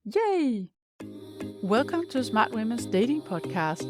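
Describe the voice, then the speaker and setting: A woman's voice: a little high, very animated, takes it slowly, over music. A woman in her fifties from Denmark with a Danish accent, hosting her podcast as a life coach and speaking straight to the listener in an upbeat, encouraging way. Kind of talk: scripted podcast monologue.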